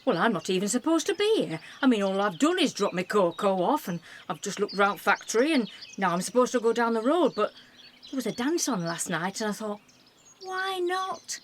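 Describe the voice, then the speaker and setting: A woman plays a chatty wartime busybody. She is blunt and cheeky, her pitch moving freely. Faint noise and birdsong sit behind her in this amateur radio play. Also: very animated, normal speed, lightly acted. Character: nosy, cheeky, blokes-loving wartime delivery woman.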